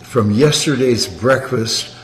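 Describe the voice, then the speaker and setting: A man's voice: medium pitch, slow, in a large hall, slight background noise, poor audio. Rambling man in his eighties. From Canada. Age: 80s. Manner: rambling, tags sentences with "okay?". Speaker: guest of honor on a family livestream. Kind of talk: casual chat.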